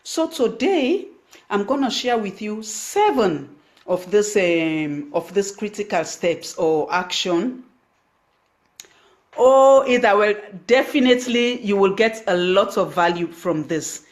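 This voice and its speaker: A woman with a Sierra Leonean accent talks on a live stream, her voice sitting at a middling pitch. She speaks English as a second language, full of "um"s and restarts, and breaks off often to thank viewers by name. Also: lively intonation, slow. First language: Krio. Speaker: online business coach. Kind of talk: Facebook live stream talk.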